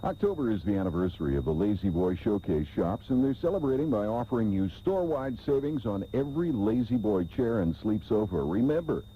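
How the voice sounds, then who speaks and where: A man's voice: lowish voice, a little hiss or hum. A man reading a retail radio commercial as an announcer. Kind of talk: radio advertisement read. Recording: tinny, humming old radio tape.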